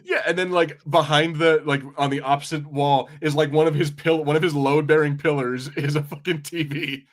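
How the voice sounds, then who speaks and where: A man's voice: fairly high pitch, fairly even intonation, moderate pace, few pauses. A man co-hosting a podcast, chatting casually and wryly with rambling, sweary commentary. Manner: rambling, profane, wry. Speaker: podcast co-host. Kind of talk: casual watch-party banter and commentary.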